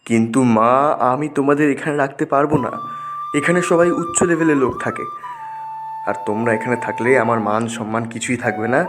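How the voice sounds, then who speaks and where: A man's voice: mid-range voice, normal speed, fairly bright tone, slight background noise, natural voice. A man voicing a vain, dismissive young son in a cartoon dub, speaking curtly. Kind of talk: cartoon dubbing.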